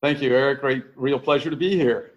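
A man's voice: medium pitch, steady tone, a little fast, muffled recording, heavy background noise. Candid older man telling stories. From United States. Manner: candid, self-deprecating storyteller with frequent restarts. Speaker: podcast guest. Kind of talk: casual conversational interview.

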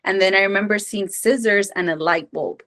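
A woman's voice: medium pitch, normal speed, fairly bright tone. A woman in her twenties from Mexico, a podcast guest telling her life story in an earnest, rambling way with many fillers. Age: 20s. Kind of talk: casual personal storytelling.

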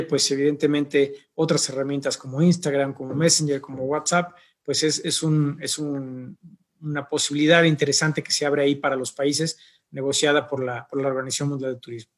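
A man hosts an interview in a slightly husky voice that sits a touch high for a man. He talks in an unhurried, hesitant way, often pausing to find his words. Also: fairly even intonation. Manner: unhurried, hesitant, fills pauses with eh and pues. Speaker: podcast host.

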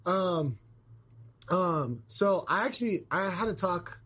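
A man's voice: sits high, animated, slow pace, fairly bright tone. Scratchy-voiced male podcast host. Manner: rambling, lots of fillers and restarts. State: hoarse after being sick. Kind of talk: casual chat.

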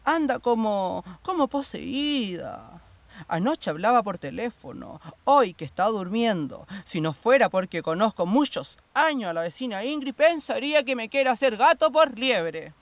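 An actress playing a gossipy, pushy neighbour who sells housewares door to door, chatty and wheedling, in a radio drama. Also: female voice, very animated, unhurried, no echo. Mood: gossipy, secretive. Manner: chatty, wheedling, sales-pitching. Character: gossipy, pushy neighbour woman selling plastic containers door to door. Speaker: actor in a radio play.

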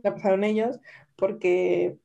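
A woman co-hosting a podcast, telling a story in a relaxed chat with frequent restarts. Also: medium pitch, a little slow, vocal fry. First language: Spanish. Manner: storytelling, many restarts and "o sea". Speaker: podcast co-host. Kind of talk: casual chat over wine.

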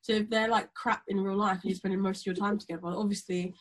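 A woman in her twenties co-hosting a podcast in casual, teasing chat, hoarse from being ill. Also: mid-range voice, level tone, fast. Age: 20s. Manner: chatty, teasing, laughs often. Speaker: podcast co-host.

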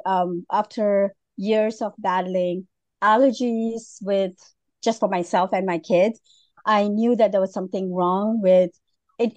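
A woman's voice: mid-range voice, a little mellow, no room sound. A middle-aged woman from Malaysia, a podcast guest, talking casually with many fillers and restarts. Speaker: guest, food business founder. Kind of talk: casual interview.

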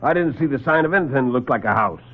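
A man's voice: mid-range voice, lively intonation, very fast, natural voice. Man doing a puzzled airman sidekick. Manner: questioning, plain-spoken. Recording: tinny lo-fi old radio broadcast.

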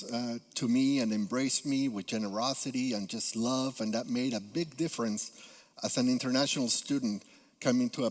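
Peruvian man preaching slowly in a reverberant church. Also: medium pitch. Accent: Spanish-accented English. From Peru. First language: Spanish. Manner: halting with frequent "uh" fillers, earnest. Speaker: guest preacher. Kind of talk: sermon. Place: church sanctuary. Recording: long hall reverb.

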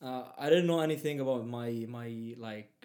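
A man's voice: medium pitch, moderate pace, darkish tone. A young man whose first language is Arabic, talking casually as a guest in English, hesitant with many fillers and restarts. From Palestinian, raised in Egypt. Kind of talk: casual conversation.

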